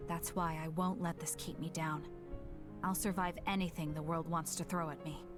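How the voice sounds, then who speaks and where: A resolute young woman soldier , played by an actress, talks in a fairly low, deliberate voice. She is guarded but caring, in dramatic game cutscene style with strong music behind her. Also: little pitch movement, close to an everyday voice. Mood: somber, resolute. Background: background music.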